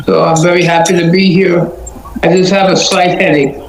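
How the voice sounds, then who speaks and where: A man in his seventies chats plainly in a slightly high voice, repeating himself. The call sounds echoey. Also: flat delivery, moderate pace. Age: 70s. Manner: repeats phrases, plain-spoken. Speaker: retired participant in an English group. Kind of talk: casual chat. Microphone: video call. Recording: echoey online call, faint noise.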